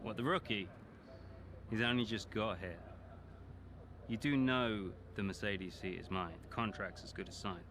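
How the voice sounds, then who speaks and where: A brash, taunting racing driver with a lowish voice, as an actor puts him on, scoffing at his rival in a game cutscene. Steady background noise sits under the lines. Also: moderate pace, lightly acted. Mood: cocky. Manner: taunting, dismissive. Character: arrogant male racing driver, cocky rival.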